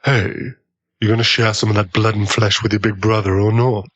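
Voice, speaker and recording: Male actor as low husky young vampire, possessive, teasing, predatory, ASMR audio drama, slightly dull sound. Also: flat delivery, brisk, natural voice. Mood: taunting. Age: young adult. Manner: teasing, coolly menacing. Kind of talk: ASMR boyfriend audio roleplay.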